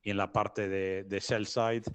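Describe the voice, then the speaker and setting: A middle-aged man from Italy with a low-pitched voice, recorded dry and close. He speaks Spanish as a non-native, explaining things conversationally as a guest, often halting and repeating words as he searches for the next one. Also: flat delivery, clean tone, dry recording. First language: not Spanish. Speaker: interview guest, business advisor.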